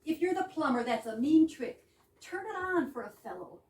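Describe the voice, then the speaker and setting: High-voiced, cheeky young woman played on stage. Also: expressive, moderate pace, darkish tone. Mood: bold, demanding. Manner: saucy, breezy, playful. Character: flippant, cheeky young woman chatting from her bath. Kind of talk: amateur readers theater of a 1920s comic play. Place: indoor readers theater stage, distant microphone. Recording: roomy distant stage recording, faint noise.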